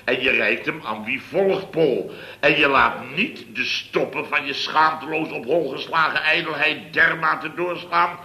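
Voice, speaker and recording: A man's voice: fairly high pitch, a little slow, sharp tone, heavily acted. An actor playing a pompous, sarcastic building contractor boss who lectures his employee, in 1960s radio comedy style. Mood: lecturing. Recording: roomy old radio recording.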